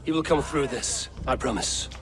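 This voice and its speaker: An actor playing a steadfast, loyal Viking warrior in a video game, with a direct and assured manner. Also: male voice, medium pitch, normal speed, lightly acted. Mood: steady.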